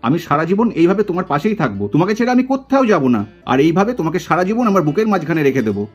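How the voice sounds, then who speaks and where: A woman's voice: fairly low pitch, big pitch swings, very fast, husky, faint music behind. A woman voicing a devoted young man doll in a puppet drama, earnest and coaxing. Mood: reassuring, coaxing.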